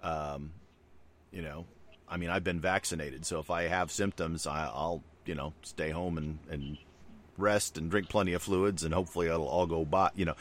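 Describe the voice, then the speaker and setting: A man's voice: low-pitched, fairly even intonation, normal speed, a bit bright, slight background noise. A middle-aged man co-hosting a podcast in casual conversation, rambling loosely with many fillers and asides. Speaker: podcast co-host.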